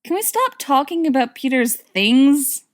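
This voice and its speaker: A woman's voice: high-pitched, very animated, a little slow, voice pushed up. A woman voicing a moody, grumbling young man in a story reading.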